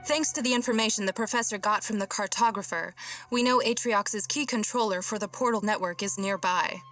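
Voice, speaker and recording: A woman's voice: mid-range voice, a little fast, fairly bright tone, soft background music, close to an everyday voice. A woman playing a composed, efficient military intelligence officer in a video game, giving businesslike briefings. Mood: serious.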